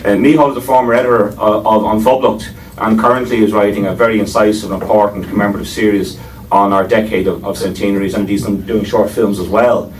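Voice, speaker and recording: A man's voice: fairly low pitch, fairly even intonation, quick pace. An Irish man chairing a panel, introducing speakers with wry asides and many hesitations. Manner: wry, digressive, with frequent hesitations. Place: lecture room before an audience. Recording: distant mic, faint noise.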